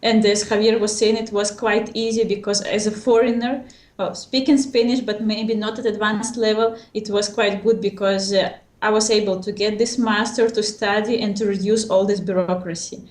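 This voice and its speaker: A woman's voice: mid-range voice, level tone. Russian-accented woman in an echoey room. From Siberia, Russia. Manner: hesitant, fills pauses with "uh" and "well". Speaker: master's graduate. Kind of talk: casual interview.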